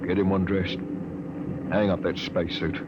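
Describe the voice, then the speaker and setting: A man's voice: high-pitched, very animated, takes it slowly, full character voice. A man playing a bullying spaceship officer in a 1950s radio drama, mocking and menacing, on a muffled, noisy old recording. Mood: cold, barking.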